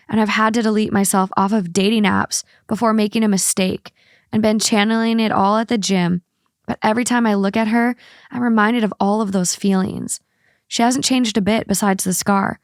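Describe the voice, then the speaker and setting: A woman hosts a casual podcast, moving between chat and reading stories aloud. Her voice sits at an ordinary pitch for a woman. The recording is close and dry, with no room sound. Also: dry recording. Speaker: podcast host.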